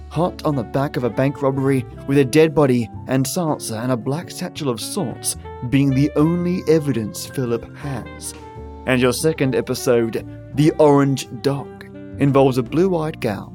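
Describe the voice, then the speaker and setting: A man's voice: fairly high pitch, a little mellow. A man hosting a podcast, giving a folksy, scripted introduction and talking directly to listeners. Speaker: podcast host.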